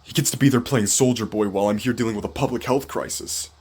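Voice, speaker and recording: Low-voiced, exasperated government official acted by a man. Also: fast talker, darkish tone, slightly rough. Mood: bitter, exasperated. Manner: bitter, exasperated, commanding. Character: angry, beleaguered male government official barking orders. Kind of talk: analog horror audio drama.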